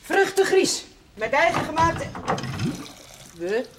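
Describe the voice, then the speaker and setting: A woman's voice: high-pitched, sing-song, slow, a crowd in the background, full character voice. A woman playing a wary, curt priest's housekeeper in a television period drama, with a disapproving manner. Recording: noisy 1970s TV soundtrack. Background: sizzling pan, kitchen clatter.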